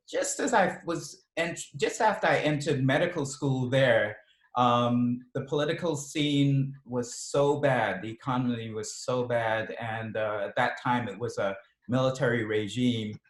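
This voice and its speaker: A middle-aged man with a mixed Ghanaian, British and Californian accent, telling stories as an author guest in a relaxed interview, laughing often and restarting his sentences. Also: medium pitch, unhurried. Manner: chatty, laughs often, many restarts and ums. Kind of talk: relaxed storytelling in a video interview. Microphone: video call. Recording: echoey room on a video call.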